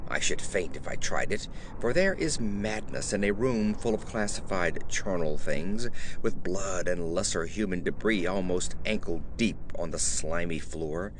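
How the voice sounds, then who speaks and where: A man reading a horror story as an audiobook narrator, with a measured, literary and theatrical delivery. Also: sits low, expressive, background noise.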